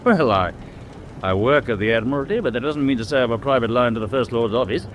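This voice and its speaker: An actor playing a well-informed, measured English Admiralty gentleman with an educated southern English accent in a radio drama. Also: medium pitch, animated, slight background noise, lightly acted. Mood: genial, measured. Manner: articulate, considered.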